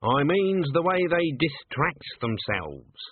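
A man's voice: a little high, very animated, slow, husky, dry recording, voice pushed up, exaggerated voice. Storybook role: shrewd old countrywoman witch, played by a man, stern, blunt, swooping intonation, narrow-band sound. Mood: probing. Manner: blunt, probing, rustic grammar.

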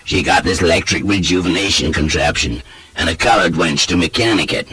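A man voicing a gallant, flattering rake in a storybook, with an effusive drawl. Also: sits low, a little fast, breathy edge, heavily acted. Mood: teasing. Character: gallant Southern rake, an oily flattering companion.

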